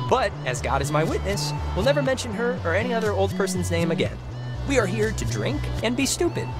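High-pitched, wisecracking American teenage boy. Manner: jokey, rambling. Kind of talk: naturalistic video game acting.

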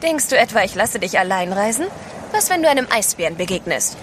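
A woman's voice: medium pitch, sing-song, brisk, slight background noise, close to an everyday voice. An actress playing a spirited, devoted female adventurer in an audio drama.

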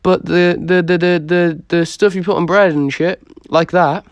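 Young Dublin man, lightly high-pitched voice. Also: steady tone, normal speed, smooth, no room sound, lo-fi sound. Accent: Dublin Irish. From Dublin, Ireland. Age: young adult. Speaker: livestreamer. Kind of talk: casual livestream chat, answering viewer comments. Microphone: phone held close to the face.

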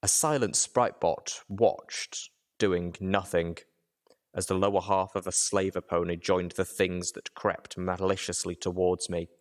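A man with a low voice narrates a story in the first person. His reading is dramatic, like an audiobook, and sits deep in pitch. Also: steady tone, measured pace.

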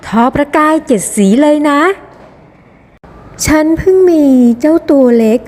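A woman's voice: sits high, measured pace, darkish tone. A woman voicing a friendly, helpful small underground animal in a children's storybook, explaining things simply, with reverb on the recording. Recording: long reverberant hall echo.

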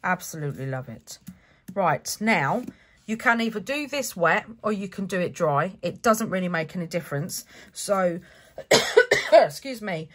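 A woman talks through a craft project at her desk in a relaxed, chatty way. Her voice sits a little low and sounds stuffy from a cold, and she often corrects herself mid-sentence. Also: measured pace. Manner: chatty, self-correcting step-by-step explaining. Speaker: craft channel host. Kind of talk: relaxed craft tutorial narration. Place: home craft desk, indoors.

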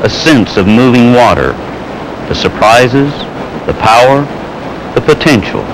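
A man reading scripted narration for a training film in a measured, earnest way. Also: medium pitch, animated. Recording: noisy old 16mm film soundtrack.

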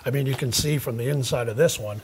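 A man's voice: mid-range voice, quick pace, darkish tone, a little hiss or hum. A middle-aged man with a chatty, repetitive way of talking, hosting a casual on-camera conversation in a workshop. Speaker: vlog host, car enthusiast. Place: indoor auto rebuilding workshop.

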